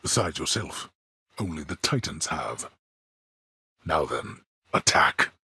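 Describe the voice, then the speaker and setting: An actor playing a grim, imperious god of the dead and stern father in a video game, with a husky, gravelly voice and formal, archaic, menacing delivery. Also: very deep voice, big pitch swings, slow, sharp tone, no echo, studio quality, full character voice. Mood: stern menace. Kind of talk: theatrical video game villain acting.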